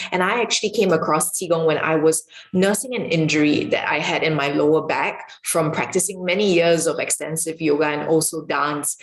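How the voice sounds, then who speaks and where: Low-voiced woman teaching in an echoey room. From Singapore. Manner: calm, explanatory, with filler ums. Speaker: qigong and yoga teacher. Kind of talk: online teaching lecture. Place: home room with marble floor. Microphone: camera mic, video recording.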